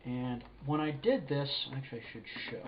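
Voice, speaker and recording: A man with a cold, sniffly, talking through an electronics assembly at his workbench in a halting, thinking-aloud way. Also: mid-range voice, animated. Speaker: hobbyist electronics builder. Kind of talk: casual hands-on assembly walkthrough. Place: indoor workshop bench. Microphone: camera mic above workbench. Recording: dull lo-fi camera audio with faint hiss.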